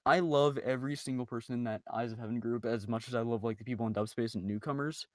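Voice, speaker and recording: A man's voice: mid-range voice, fairly even intonation, quick pace, dry recording, studio quality. A man talking casually on a group podcast in an informal, chatty, emphatic way. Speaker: podcast member, amateur voice actor.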